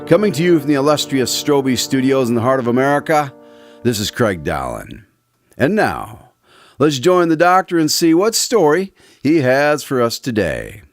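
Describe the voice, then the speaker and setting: An actor playing a courteous radio announcer, hosting in an old-time radio style with polite formality. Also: male voice, mid-range voice, big pitch swings, moderate pace, faint music behind. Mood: showy, welcoming. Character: Craig, courteous male radio announcer visiting his doctor friend.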